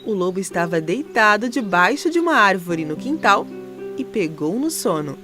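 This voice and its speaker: A woman narrating a scripted children's storybook in an expressive, dramatic way. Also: medium pitch, moderate pace. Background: music.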